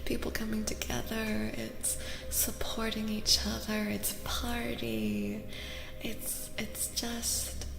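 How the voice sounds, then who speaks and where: A woman giving a soft-spoken ASMR tarot reading as a streamer, often slipping into whispers, soothing and reassuring as she slowly repeats phrases. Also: a little high, little pitch movement, creaky. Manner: soothing, reassuring, slow repetitions. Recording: reverberant echo with music behind. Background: music.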